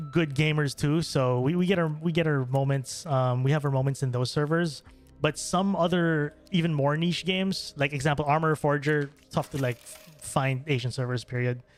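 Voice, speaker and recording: A young man from the Philippines, streaming a game and chatting casually with viewers, rambling with many fillers and restarts. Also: a little high. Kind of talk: casual gameplay stream chat. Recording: faint music behind voice.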